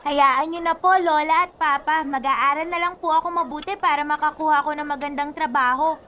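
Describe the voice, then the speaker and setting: A woman's voice: sits high, steady tone, brisk, pushed and bright, slight background noise. A woman acting a polite, dutiful young schoolgirl in a radio drama, with a Filipino accent and an innocent, questioning manner. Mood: earnest.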